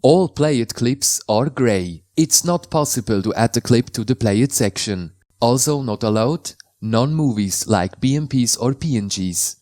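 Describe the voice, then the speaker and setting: A man from Switzerland, a native Swiss German speaker with a Swiss German accent, calmly explaining how to install and use software in a tutorial voice-over, with slightly non-native phrasing. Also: mid-range voice, unhurried, hardly any pauses, no room sound. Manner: careful, plain instructional delivery in slightly non-native English.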